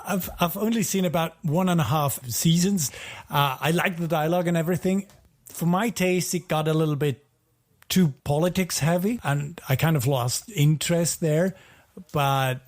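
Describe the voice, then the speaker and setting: Higher-voiced man chatting casually on a podcast. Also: normal speed. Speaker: podcast co-host. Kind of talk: casual chat.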